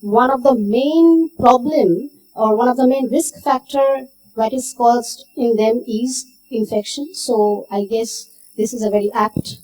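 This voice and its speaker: High-voiced woman, heard from afar. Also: measured pace, mellow, faint music behind. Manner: formal, slightly wordy phrasing. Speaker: research scientist. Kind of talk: conference self-introduction. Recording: distant mic in a meeting room.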